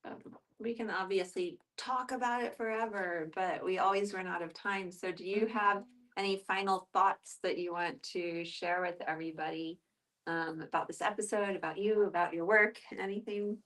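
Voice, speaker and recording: A woman's voice: medium pitch, fairly even intonation, normal speed, a bit bright, far from the mic, poor audio. Casual woman hosting a group discussion. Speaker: podcast host.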